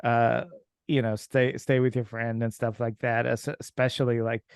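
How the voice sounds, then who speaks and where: A man from Ukraine hosting an interview podcast, asking questions in a halting way with many restarts. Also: medium pitch, little pitch movement, vocal fry, smooth, dry recording, clean studio sound. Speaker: podcast host. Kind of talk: casual interview.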